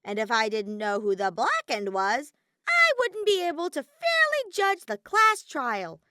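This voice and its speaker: High, cartoonish mischievous bear mascot. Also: female voice, huge pitch swings, measured pace, sharp tone, no room sound, clean studio sound, exaggerated voice. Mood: eager, exasperated. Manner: matter-of-fact, theatrical, grumbling. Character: mischievous robotic bear mascot villain, flippant and exasperated. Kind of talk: dramatic character reading in an audio fiction.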